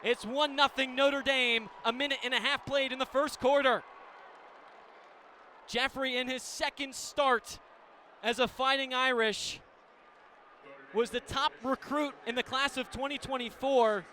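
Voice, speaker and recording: An American man doing live radio play-by-play of a game in clipped descriptive phrases, ready to burst into excited calls. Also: extremely high pitch, a little slow, long pauses. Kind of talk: live sports play-by-play commentary. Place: indoor stadium broadcast position. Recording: live radio with steady venue noise.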